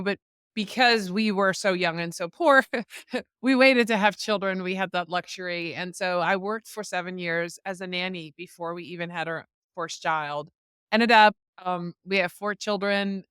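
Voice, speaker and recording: A woman in her fifties, a native Dutch speaker from the Netherlands, talking as a guest on a podcast. Her voice is bright and very smooth, recorded dry and close with no room echo. She tells her story in an upbeat, easy way. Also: on the low side. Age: 50s. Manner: upbeat, storytelling, laughs easily. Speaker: podcast guest, social media strategist. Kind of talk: podcast interview.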